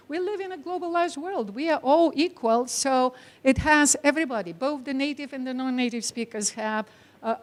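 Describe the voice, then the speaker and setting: High-voiced Bulgarian woman giving a lecture. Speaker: academic speaker, teacher trainer. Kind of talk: conference lecture to an audience.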